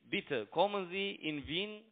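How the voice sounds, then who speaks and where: A man's voice: high-pitched, expressive, takes it slowly. A young man with a foreign accent, arguing insistently and repetitively in broken, non-native speech over a telephone. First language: not German. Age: young adult. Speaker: phone scam caller. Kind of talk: argumentative phone conversation. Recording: tinny, narrow-band phone line.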